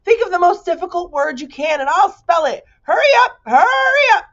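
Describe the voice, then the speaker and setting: A woman's voice: very high voice, very animated, moderate pace, fairly bright tone, lo-fi sound, voice pushed up, full character voice. A woman voicing an enormous, boastful male bee in a children's storybook, fussy and fond of spelling words out letter by letter. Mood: impatient.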